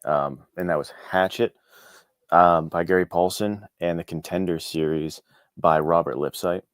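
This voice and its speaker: A man's voice: deep voice, steady tone, normal speed, no room sound, clean studio sound. A man talking casually as an interview guest, easygoing and a little self-deprecating. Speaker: guest, indie author and podcast co-host. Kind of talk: casual chat.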